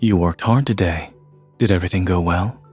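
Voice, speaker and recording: Low-voiced, composed fantasy general. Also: male voice, darkish tone, breathy edge, faint music behind. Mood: calm, gentle. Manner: measured, formal, dryly understated. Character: calm, formal, dryly teasing young male fantasy general. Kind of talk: restrained romance game voice acting. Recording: screen-recorded game audio with faint noise.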